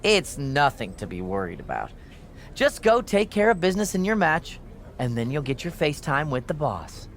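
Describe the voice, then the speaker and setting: A woman's voice: low-pitched, big pitch swings, normal speed, slight background noise. A woman playing a breezy, smug backstage assistant in a wrestling game, with a chipper, dismissive, needling manner. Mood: reassuring. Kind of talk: acted video game cutscene dialogue.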